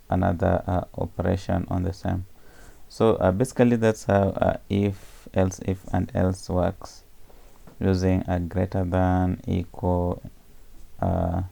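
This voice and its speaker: A man explaining programming step by step in a screencast tutorial, pausing often with fillers and correcting himself as he goes. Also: low voice, gravelly, warm tone.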